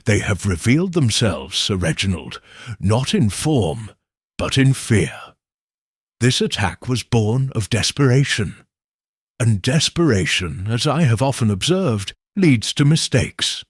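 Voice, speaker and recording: A man voicing a calm, incisive middle-aged detective who speaks in a measured, assured way. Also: medium pitch, slightly rough, dry recording, studio quality. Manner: measured, deliberate, quietly assured.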